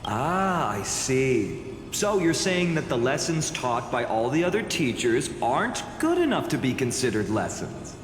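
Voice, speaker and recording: Casting: sincere young game hero, mild and hesitant, measured pace, reverberant with music behind. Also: male voice, medium pitch, very animated. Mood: politely questioning. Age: young adult. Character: mild, sincere young male student with cheek. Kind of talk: dramatic video game voice acting.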